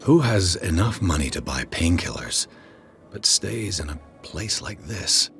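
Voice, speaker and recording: A weary game detective, deep slow voice, broody, faint music behind. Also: male voice, sing-song, fairly bright tone, exaggerated voice. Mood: brooding, suspicious. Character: weary, wry male private detective. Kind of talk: video game voice acting.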